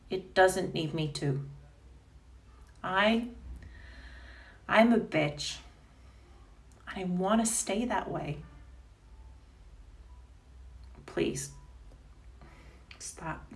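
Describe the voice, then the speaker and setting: A woman's voice: mid-range voice, a bit bright, far from the mic. An actress playing a cold, self-declared mean woman in a melodramatic, pleading stage monologue. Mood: desperate begging. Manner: pleading, melodramatic, deliberate with hesitations. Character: cold, self-declared bitch begging not to be proposed to.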